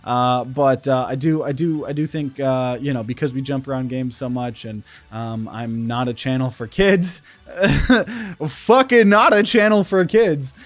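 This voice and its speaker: A man's voice: medium pitch, a little slow. A man, talking to camera in a loose, rambling vlog full of fillers and restarts. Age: 20s. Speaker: content creator. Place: indoor room. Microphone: camera at desk.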